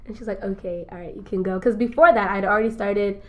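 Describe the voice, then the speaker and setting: A woman's voice: medium pitch, steady tone, fast talker. A nineteen-year-old woman guest chatting casually on a podcast, giggly and upbeat as she tells her story. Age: young adult. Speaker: guest, cosmetics founder.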